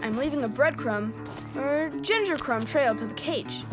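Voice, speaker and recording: A man's voice: extremely high pitch, expressive, moderate pace, music in the background. An actor playing an earnest young boy, a confident explainer who loves mythology, in an audio adventure drama. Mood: eager, focused.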